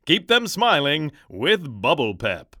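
A man's voice: a little high, wildly swooping pitch, slow pace, bright, forceful tone, no room sound, clean studio sound, exaggerated voice. An actor playing an emphatic 1930s radio sponsor announcer with a showy, old-fashioned salesman's delivery. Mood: cheery, salesy.

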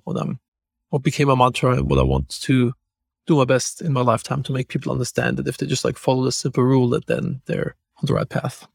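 A man's voice: medium pitch, creaky, darkish tone, dry recording, studio quality. A man with a German accent hosting a podcast, talking in a casual, loose way with frequent restarts and fillers. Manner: fast, loose talk with many restarts and fillers. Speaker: podcast host.

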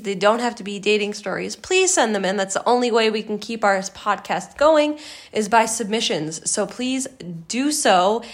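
A woman with a deep voice, co-hosting a casual podcast and joking playfully. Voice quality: deep voice for a woman, by her own account. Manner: playful, laughs often, jokes in a low sultry put-on voice. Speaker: podcast co-host.

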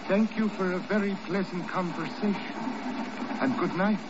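An actor playing a menacing, falsely polite villain with formal, insinuating speech in 1950s radio drama. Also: male voice, sits high, steady tone, natural voice. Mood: icily polite. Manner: over-formal, insinuating, deliberate. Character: smooth, menacing, mock-courteous foreign tobacco magnate villain. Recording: noisy, muffled old radio recording.

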